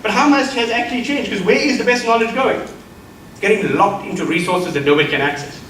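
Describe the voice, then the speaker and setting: A man's voice: high-pitched, brisk, a bit bright, a little hiss or hum. A middle-aged South African man giving an emphatic keynote lecture, addressing the audience as colleagues. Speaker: keynote speaker. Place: lecture hall. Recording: roomy echo from far mic, faint ambience.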